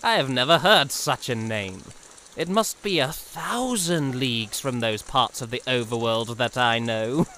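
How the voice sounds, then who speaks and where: An actor playing a courtly, haughty young knight in a fan audio drama, speaking grand archaic lines with theatrical amusement. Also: male voice, mid-range voice, expressive, unhurried, pushed and bright, slight background noise. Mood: mocking, amused.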